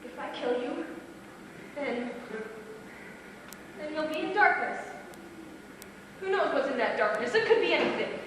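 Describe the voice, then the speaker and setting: An actress playing a forceful, menacing woman in an amateur stage play, speaking in an insistent, emphatic, commanding way. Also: high-pitched, animated, measured pace, pauses often. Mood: cold, menacing. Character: forceful, menacing woman pressuring her fearful housemate. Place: small theatre stage. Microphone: distant recorder in the hall. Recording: distant, echoey theatre hall, faint noise.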